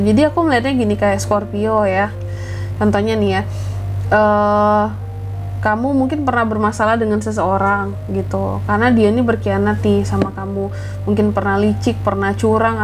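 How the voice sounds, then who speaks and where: A woman with a cold doing a casual, chatty tarot reading, keeping her voice soft and adding lots of fillers and tag questions. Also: medium pitch. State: has a cold, voice kept soft. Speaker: tarot reader.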